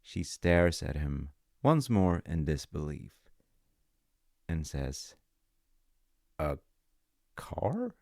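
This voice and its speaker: A man telling a story in an intimate roleplay as a dad, in a measured, wry way with dramatic pauses. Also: a bass voice, expressive, gravelly, darkish tone, no room sound, clean studio sound.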